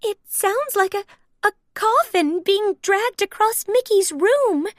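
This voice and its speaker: A woman voicing an excitable, nervous female cartoon character in a storybook, sweet and girlish. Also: very high voice, slow, dry recording, studio quality, pitched above the performer's own voice, heavily acted.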